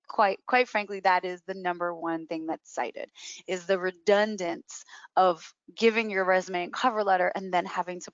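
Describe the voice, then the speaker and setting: Female career coach, emphatic explainer, mid-pitched, on muffled webinar audio. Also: dry recording. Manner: emphatic, repeats key words for stress. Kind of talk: explanatory webinar advice with question and answer.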